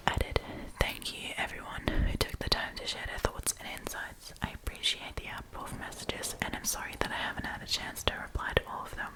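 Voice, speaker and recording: A woman whispering in a breathy, chatty, informal way as she hosts an ASMR storytime, reading aloud and commenting. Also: poor audio. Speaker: host of storytime series.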